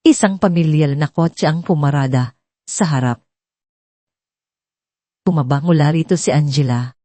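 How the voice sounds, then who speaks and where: A woman reads a dramatic audio story as its narrator. Her voice is on the low side and somewhat mellow, recorded dry and close. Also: normal speed, no room sound.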